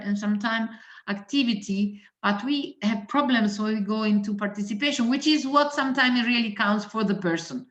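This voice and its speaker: A woman's voice: mid-range voice, a bit bright. A woman doctor, a native Italian speaker with an Italian accent, giving a methodical webinar lecture that lists points with frequent hesitations. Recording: roomy, dull lo-fi audio.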